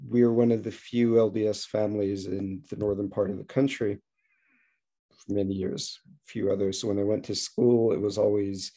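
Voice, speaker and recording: A man from Israel, a podcast guest on a video call, telling his story in a relaxed way with small restarts. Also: on the low side. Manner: relaxed, self-correcting storyteller. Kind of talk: casual interview.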